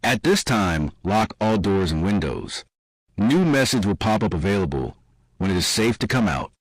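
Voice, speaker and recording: A husky-voiced man reading scripted emergency alert announcements. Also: on the low side, big pitch swings, normal speed, no echo, poor audio.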